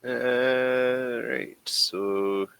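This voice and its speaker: A man running a tabletop game as game master, talking casually and haltingly as he thinks rules through aloud. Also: mid-range voice, steady tone, gravelly, a bit bright, clean tone, no room sound.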